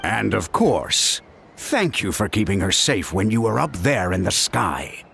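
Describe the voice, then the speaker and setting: An actor playing a caring middle-aged uncle who gently scolds his niece in a video game. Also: lowish voice, a little slow, gravelly, background noise, heavily acted. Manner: warm-hearted scolding, expressive. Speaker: game character. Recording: game audio with soft music.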